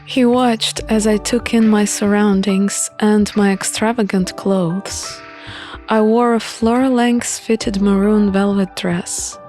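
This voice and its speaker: A woman reading a visual novel aloud and commenting on it as she plays, in a deliberate manner. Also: mid-range voice, darkish tone. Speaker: game playthrough narrator. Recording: music under the voice.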